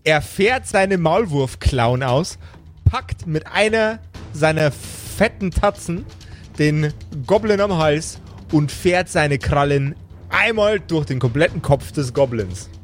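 Male game master, fairly high voice, dramatic storyteller with casual asides, music underneath. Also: expressive, slow. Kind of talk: live improvised tabletop game narration. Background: background music.

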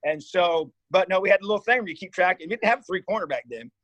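Earnest fifties guy from indiana rambling about growing up, voice a bit high, dead dry room. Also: expressive, quick pace, fairly bright tone, no echo, dull audio. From Indiana, USA. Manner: rambling, earnest, frequent "you know" and restarts. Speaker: head football coach, guest. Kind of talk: casual interview storytelling.

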